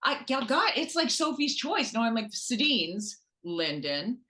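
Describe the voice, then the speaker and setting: A woman chatting casually about sports as a podcast guest, excitable and joking as she tells stories. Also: on the high side, unhurried. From Canada. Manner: excitable, animated storytelling, joking.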